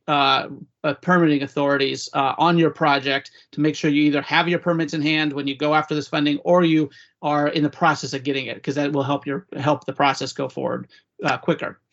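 Hoarse male podcast host, slightly high voice, halting delivery, muffled lo-fi sound. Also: a bit bright. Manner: halting, many fillers and restarts. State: hoarse from a cold. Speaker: podcast host, legislative director. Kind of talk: policy briefing podcast.

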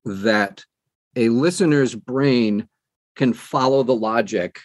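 An older man who narrates audiobooks, talking conversationally in an interview with measured, emphatic phrasing and deliberate pauses. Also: medium pitch, dry recording, studio quality.

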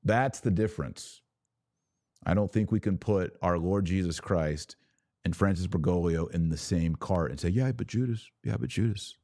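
Low, mellow-voiced man hosting religious commentary. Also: normal speed, dry recording, studio quality. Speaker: podcast host.

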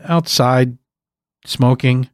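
A man doing a soft-spoken, worried grandson. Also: mid-range voice, steady tone, drawn-out words, dry recording, studio quality. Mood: gentle. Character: worried grandson at a hospital bed.

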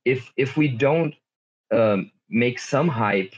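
Relaxed man on a dull, lo-fi line. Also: mid-range voice, slow. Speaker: guest from a game company. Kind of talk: casual chat. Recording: dull lo-fi remote audio.

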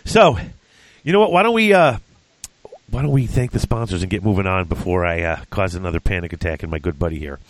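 A man's voice: low voice, lively intonation, brisk. Congested man hosting a podcast. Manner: chatty, self-deprecating, with fillers and restarts. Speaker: podcast host. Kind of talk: casual podcast chat.

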